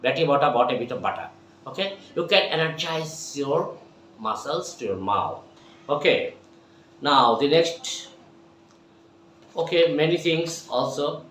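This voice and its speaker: Bangladeshi guy teaching english tongue twisters, kinda high voice, roomy echo and faint hiss. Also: lively intonation, a bit bright. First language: Bengali. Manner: repeats phrases slowly and emphatically, addresses viewers as my dear. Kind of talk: tongue twister drill lesson. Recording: echoey room, faint background noise.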